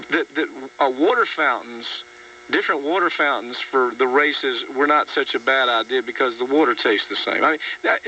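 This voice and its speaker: Bright-voiced man hammering out mocking political talk. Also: a little high. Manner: emphatic, halting, repeats words for stress; mocking, sardonic. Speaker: radio talk show host. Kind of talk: political talk radio discussion. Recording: dull, distant radio audio with faint hum.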